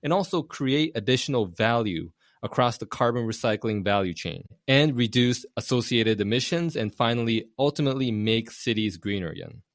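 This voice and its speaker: A man hosting a casual interview show, relaxed and chatty, dropping "you know" and "man" into his questions. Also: medium pitch, moderate pace, hardly any pauses, dry recording, studio quality. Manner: relaxed, says "man" and "you know", short "wow" reactions. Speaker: podcast host.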